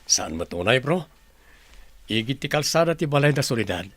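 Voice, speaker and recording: A man's voice: medium pitch, big pitch swings, moderate pace, fairly bright tone, no echo. A man playing a devoted young groom-to-be, reassuring and teasing, in a radio-style drama. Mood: casual.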